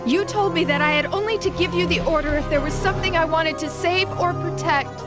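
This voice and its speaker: A woman's voice: extremely high pitch, a little fast. An actress voicing a caring, earnest young female comrade in a video game, with emphatic, dramatic delivery. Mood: pleading. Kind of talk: dramatic English video game dub acting. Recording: loud music under the voice.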